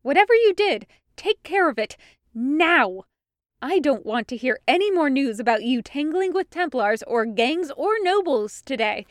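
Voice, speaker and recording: A woman voicing a stern, indignant female guard captain who scolds forcefully in an audiobook story. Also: sits high, very animated, measured pace, no room sound, clean studio sound, voice pushed up. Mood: commanding. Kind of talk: audiobook character acting.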